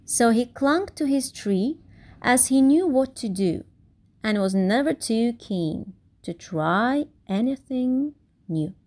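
A high-voiced woman reads a children's storybook aloud. Her delivery is very slow and deliberate, with theatrical weight on key words and a lively, swooping melody. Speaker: storybook reader. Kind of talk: expressive read-aloud of a children's picture book.

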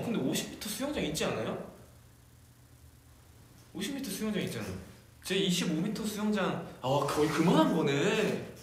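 A young man chatting casually on a livestream from a decorated room, musing aloud, answering fan comments and laughing often. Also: fairly high pitch. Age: young adult. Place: decorated room, indoors, at a table. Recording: very echoey room, faint background hiss.

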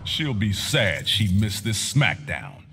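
A man's voice: on the low side, very animated, slow pace, vocal fry, big-room sound, over music, heavily acted. A man with an American accent playing a stern, protective middle-aged soldier in a video game. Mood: firm. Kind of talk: video game fighter banter.

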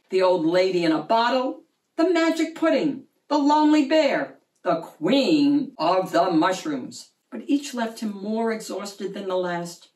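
A woman reading a children's picture book aloud in an expressive, animated storytelling manner. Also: mid-range voice. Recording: roomy, distant mic.

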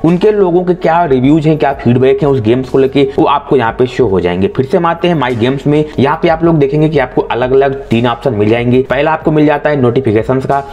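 A man's voice: mid-range voice, brisk, few pauses, darkish tone, highs cut off. An Indian man narrating a tech tutorial in a methodical way, mixing in English tech terms. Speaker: tech reviewer presenting phone features.

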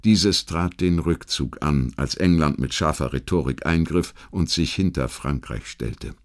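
A man with a standard German accent reading a literary history documentary as a scripted audiobook, in a measured, rhetorical delivery with deliberate emphasis. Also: extremely low pitch, vocal fry. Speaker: audiobook narrator.

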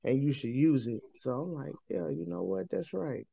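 A man with a light, fairly high voice speaks on a video call. He tells stories in an easygoing way. The audio is dry and a little dull, like lo-fi call sound. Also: lively intonation, moderate pace, gravelly, warm tone, husky, no echo. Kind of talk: casual interview chat.

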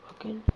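A man streaming a game in casual chat, low-key and swearing often. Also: dull audio. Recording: loud background noise and clicks.

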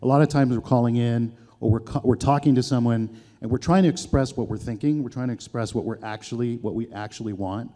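A man's voice: medium pitch, brisk, mellow. A man on a conference panel, explaining ideas patiently through simple examples. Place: conference stage with audience. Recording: reverberant event hall.

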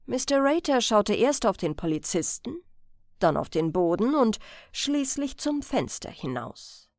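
A woman's voice: on the high side, sing-song, a little slow, darkish tone, no room sound, studio quality. A woman narrating a crime audiobook as a scripted reading.

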